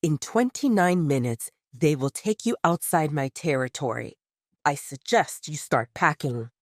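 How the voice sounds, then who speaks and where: A woman narrator acts out a male pack leader with a hard temper. The voice drops low and speaks with stern, unbending authority, dry and close, in audio drama style. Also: lively intonation, unhurried, dry recording. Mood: cold authority.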